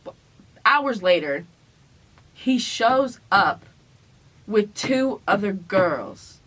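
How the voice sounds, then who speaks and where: A woman's voice: medium pitch, animated, drawn-out words, a bit bright, poor audio. A young woman in casual storytime chat, teasing and playfully dramatic as she retells things. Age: young adult. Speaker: vlogger telling a couple story.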